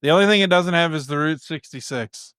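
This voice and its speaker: A man's voice: a little high, fairly quick, a bit bright, clear, resonant tone, no echo, clean studio sound. A man co-hosting a comedy podcast, talking in casual, offhand chat with friends.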